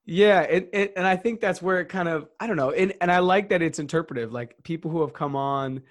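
A man's voice: fairly high pitch, fairly quick, no echo, clean studio sound. A man hosting a podcast in a casual conversation, talking in loose, rambling sentences full of restarts and fillers. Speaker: podcast host.

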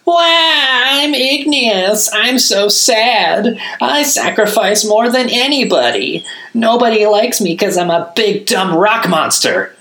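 A man's voice: high-pitched, lively intonation, takes it slowly, pushed and bright, echoey room, voice pushed up, full character voice. A man voicing a brash young fighter who speaks in a raised, mocking, exasperated voice well above his own. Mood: whining mockery.

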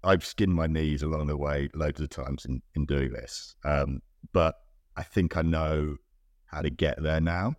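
A man's voice: very deep voice, a little slow, no echo, clean studio sound. A middle-aged British man from the UK answering interview questions in a thoughtful, hesitant way, with many ums and restarts. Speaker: guest, innovation director.

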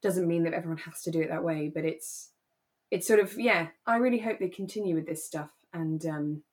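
A woman with a slightly low voice co-hosts a relaxed review podcast. She talks casually but earnestly, stressing her points and affirming what she values. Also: fairly quick. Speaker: podcast co-host. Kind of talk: casual chat reviewing a radio drama.

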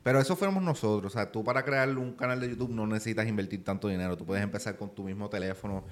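A man with a Puerto Rican accent, a guest talking casually on a podcast, often filling pauses with "este". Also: lowish voice. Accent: Puerto Rican Spanish. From Puerto Rico. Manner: relaxed, many "este" fillers. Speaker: guest, YouTuber.

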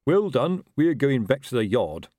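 A man's voice: mid-range voice, big pitch swings, a little slow, darkish tone, no echo, clean studio sound, voice pushed up. A man voicing a self-important, slightly dim police captain with a weary, colloquial, pompous manner in a storybook reading. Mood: self-satisfied. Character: self-important, tetchy, slow-witted old-school police captain.

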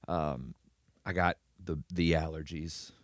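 Male co-host, stuffy nasal allergy voice, very deep, rambling joker. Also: steady tone, takes it slowly, dry recording, studio quality. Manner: rambling, jokey storyteller. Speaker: podcast co-host. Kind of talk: casual chat.

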